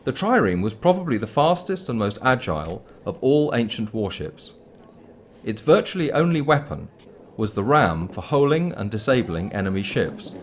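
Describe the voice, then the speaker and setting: A man reading scripted documentary commentary in a measured, formal, explanatory manner. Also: lowish voice, slight background noise. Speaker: documentary narrator.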